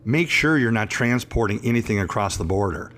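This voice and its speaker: A hoarse man in his fifties, an attorney hosting a talk show, chatting in a rambling, emphatic and self-assured way. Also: fairly low pitch, expressive, fairly quick, fairly bright tone. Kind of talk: casual radio talk show chat.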